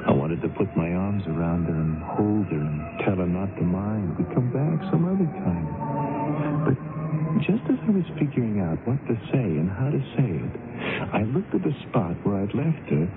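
A man's voice: lowish voice, big pitch swings, normal speed, over music. An actor playing an earnest, bashful young man who stammers and hesitates, in radio drama style. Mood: dejected narration. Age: young adult. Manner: hesitant, stammering, repeats words. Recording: tinny, noisy old radio broadcast recording.

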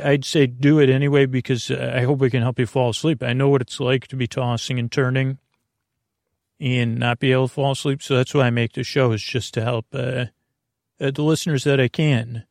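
Creaky middle-aged guy rambling at bedtime, goofy tangents, super dry close mic. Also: medium pitch, flat delivery, fairly quick, darkish tone, dry recording. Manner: meandering, self-interrupting, goofy asides. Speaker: sleep podcast host.

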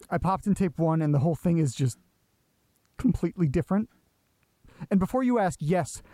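Actor as an anxious man, soft-edged higher voice. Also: dry recording, close to an everyday voice. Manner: hesitant, rambling, jittery. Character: anxious, sweary male tape listener, needy and rattled. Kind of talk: naturalistic audio drama acting.

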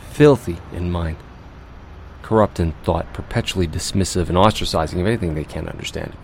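A man playing a cold, fastidious, obsessive recluse in an audio drama monologue, speaking in a precise, deliberate, brooding way. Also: sits low, darkish tone, a little hiss or hum. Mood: disgusted, brooding. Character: cold, fastidious, obsessive male recluse confessing monstrous urges.

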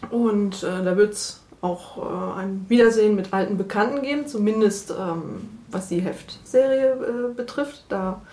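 A woman's voice: medium pitch, unhurried, few pauses, dark tone. A woman working as an editor, giving an informal, spontaneous interview statement. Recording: echoey room.

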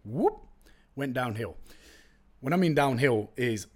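A young man with a London accent, whose first language is Turkish, tells stories on his own podcast. He talks in a loose, chatty way, full of slang and jokey asides, and his voice sits at an ordinary middle pitch. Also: lively intonation, takes it slowly, a little mellow. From East London, England. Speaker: podcast host. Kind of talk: casual solo podcast storytelling.

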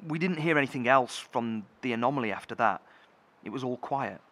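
Bright-voiced cautious bunker leader. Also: male voice, mid-range voice, expressive, a little fast. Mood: sober, measured. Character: George, cautious male bunker leader, measured and dutiful. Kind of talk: audio horror drama acting.

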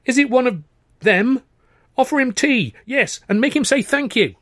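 Man acting a fussy, high-pitched little old Englishman. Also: animated, normal speed, a bit bright, no room sound, clean studio sound. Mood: anxious. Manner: eager, anxious, chattering.

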